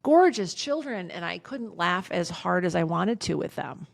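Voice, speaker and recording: A woman in her fifties, a podcast guest, talking candidly in an outspoken, emphatic way with a loud voice, swearing freely. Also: fairly low pitch, expressive, normal speed, gravelly, dry recording, clean studio sound. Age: 50s. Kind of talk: candid casual conversation.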